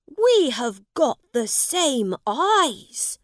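A woman voicing a lordly, eager young English prince in a storybook, speaking emphatically with drawn-out words. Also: high-pitched, very animated, no room sound, studio quality, pitched above the performer's own voice, exaggerated voice. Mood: excited, eager. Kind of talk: storybook character voice.

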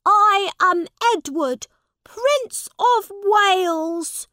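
Lady doing a young storybook prince, high voice, super slow n indignant, proud of his rank. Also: lively intonation, pushed and bright, no echo, studio quality, heavily acted. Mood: defiant. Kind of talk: storybook character voice.

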